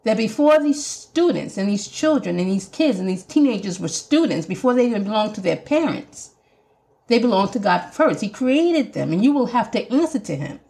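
A woman's voice: medium pitch, big pitch swings, normal speed, gravelly, mellow. A woman with a Jamaican accent hosting a podcast, talking earnestly and emphatically like a sermon. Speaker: podcast host. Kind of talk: preaching podcast monologue.

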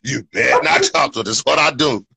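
A man's voice: mid-range voice, a little fast, creaky, bright, forceful tone, husky, dry recording. A man hosting a casual live podcast, talking in an upbeat, loose way with lots of fillers and "you know what I'm saying". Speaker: podcast host. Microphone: podcast microphone.

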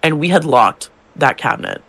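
A man's voice: fairly high pitch, lively intonation, normal speed, fairly bright tone. A young man, a drag queen co-hosting a podcast, telling work stories in casual chat, swearing and acting out customers' lines. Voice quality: gravelly, feminine-leaning voice.

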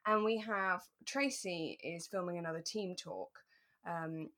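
A woman's voice: on the low side, measured pace, fairly bright tone. A woman hosting a podcast in casual, chatty, giggly conversation about a radio drama. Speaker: podcast host.

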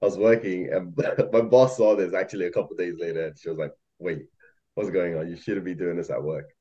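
A man's voice: sits low, steady tone, a little fast, warm tone, lo-fi sound. A young man who grew up in Malaysia, chatting casually as a podcast guest, rambling with many fillers and laughs. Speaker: podcast guest, algo trader. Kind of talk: casual chat.

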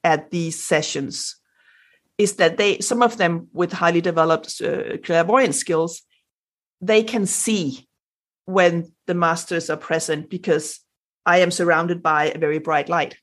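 A woman's voice: fairly low pitch, fairly even intonation, measured pace. A Danish woman, a native speaker of Danish with a Danish accent, speaking animatedly as a spiritual guest on a podcast, with sound effects and many restarts. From Denmark. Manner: frequent restarts and fillers. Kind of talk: podcast interview.

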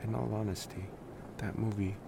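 A man playing a laid-back, wry college-age vampire in an intimate ASMR roleplay, rambling casually and hesitantly with sighs and pauses. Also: on the low side, steady tone, gravelly, noisy background. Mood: baffled, amused.

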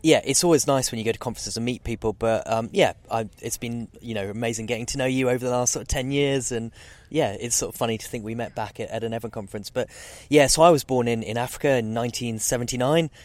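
A man's voice: medium pitch, fast, no echo. A man, answering interview questions in a rambling way with lots of fillers. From Zambia. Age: 40s. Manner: rambling, many fillers and restarts. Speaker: guest oceanographer. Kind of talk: casual interview.

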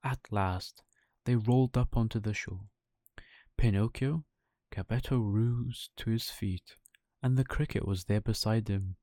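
A man's voice: lowish voice, unhurried, dark tone, dry recording, studio quality. A man reading a children's bedtime story as its narrator.

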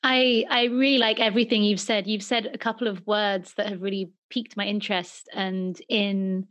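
A woman's voice: mid-range voice, little pitch movement, normal speed, fairly bright tone. A woman hosting a podcast, interviewing a friend over a video call with some hesitant fillers. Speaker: podcast host. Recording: lo-fi call audio.

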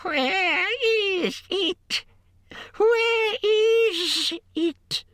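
A man voicing a wretched, spiteful cave creature for a storybook, with a strangled, hissing voice and drawn-out, repetitive muttering. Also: extremely high pitch, bright, forceful tone, dry recording, clean studio sound, pitched above the performer's own voice, heavily acted. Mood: wailing, despairing. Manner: drawn-out, repetitive, muttering to himself in odd grammar.

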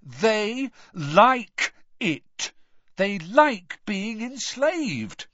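Man doing a cheeky, blunt teenage boy, very animated. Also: a little high, normal speed, no echo, lo-fi sound, pitched above the performer's own voice. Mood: loud, exasperated. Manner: mock-dramatic, blunt.

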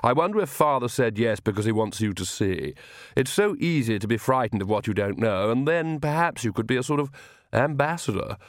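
A suave young vampire gentleman, read by a male narrator, smooth and self-assured. He talks with urbane patience and some condescension, at a medium pitch in a dry storybook recording. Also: animated, a little fast, no echo, close to an everyday voice. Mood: smooth, persuasive. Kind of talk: audiobook character voice.

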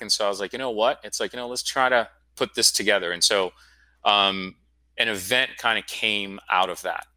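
A man's voice: low-pitched, bright, forceful tone, no room sound, low-quality recording. A man in his forties from Florida, a guest telling his story in a casual, rambling interview. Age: 40s. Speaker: guest, school founder.

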